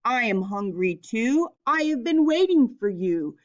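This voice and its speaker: Woman putting on a mean, greedy shouting troll. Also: a little high, big pitch swings, highs cut off. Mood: menacing, shouting. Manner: shouting, menacing, drawn-out words. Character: mean, greedy bridge troll bellowing threats.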